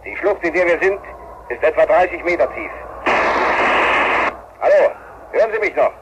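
An actor playing a businesslike expedition leader in a radio drama, giving clipped orders over a walkie-talkie. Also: male voice, a little high, lively intonation, moderate pace. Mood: urgent, businesslike. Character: businesslike male expedition leader directing a rescue. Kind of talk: radio play acting. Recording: dull, noisy two-way radio link.

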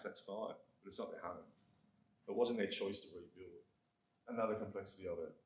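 A blunt, middle-aged Australian man with a low, loud voice talks candidly to a seminar audience. He is heard from across an echoey university room, picked up by a far-off camera. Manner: blunt, candid and self-deprecating. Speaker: community recovery worker. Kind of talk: informal talk to a seminar audience.

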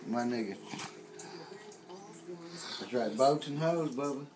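A drunk young man joking and rambling rowdily while filming a late-night party in a small home bar room, swinging between shouts and mumbles. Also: medium pitch, takes it slowly, noisy background. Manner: rowdy, slurring, joking, often shouting or mumbling.

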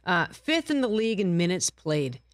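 A woman guest-hosts a sports talk show from a TV studio desk. She talks in a lively, wry and playful way into a close broadcast mic. The sound is dry and close. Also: fairly low pitch, normal speed, no room sound. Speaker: guest host.

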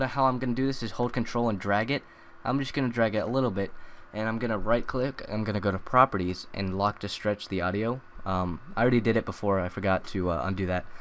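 Young male tutorial maker, low-ish voice, rambling with fillers, faint hiss. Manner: rambling, many ums and uhs. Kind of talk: casual screen-recorded tutorial.

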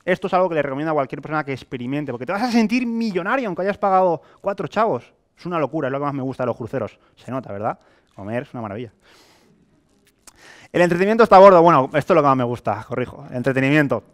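Higher-voiced young Spanish male presenter. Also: very fast. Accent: Spain Spanish. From Spain. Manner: animated, colloquial, rhetorical questions and acted-out examples. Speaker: sales presenter. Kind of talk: motivational sales presentation to a live audience. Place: hotel meeting room with a small audience, indoors.

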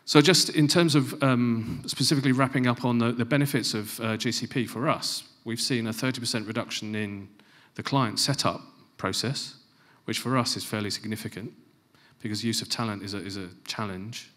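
A middle-aged man giving a conference presentation on a large stage into a handheld mic, stressing key phrases and dropping to quieter asides. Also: medium pitch, steady tone, moderate pace. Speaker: conference speaker. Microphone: handheld microphone.

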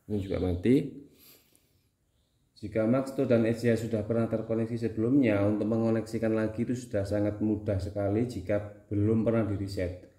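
A man's voice: fairly low pitch, fairly even intonation, brisk, warm tone. A man with a Javanese Indonesian accent, giving a casual how-to demonstration as a tutorial host. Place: indoors at home, small room.

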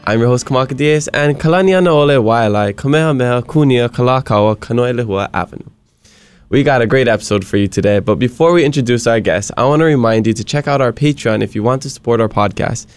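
A man's voice: mid-range voice, fast, clear, resonant tone, no room sound, soft background music. A young man from Hawaii hosting a casual podcast interview in a small studio, upbeat and joking, moving between English and Hawaiian. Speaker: podcast host. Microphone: studio mic on boom arm.

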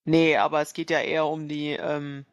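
A man's voice: fairly high pitch, level tone, normal speed, no echo, clean studio sound. A man chatting casually and a bit ramblingly as he plans in a tabletop game. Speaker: player in a tabletop role-playing game. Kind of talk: casual planning talk during a game.

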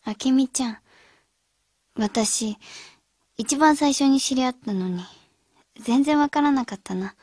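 An actress playing a thoughtful, caring high school girl who gently reasons with friends in a radio drama. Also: unhurried, pauses often, husky, no echo, studio quality. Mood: gentle, reflective.

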